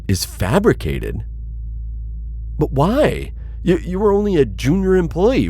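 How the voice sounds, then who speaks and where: A man voicing a cautious but principled insectoid alien bounty hunter in an ASMR roleplay, with husky, halting, formally worded speech. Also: on the high side, dark tone, heavily acted. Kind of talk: ASMR audio roleplay addressed to listener.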